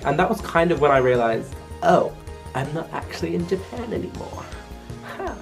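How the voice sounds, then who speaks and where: A man's voice: on the high side, sing-song, normal speed, vocal fry, soft background music. A young man from England talking casually to camera in a vlog, chatty and full of "like" fillers. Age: young adult. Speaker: vlogger.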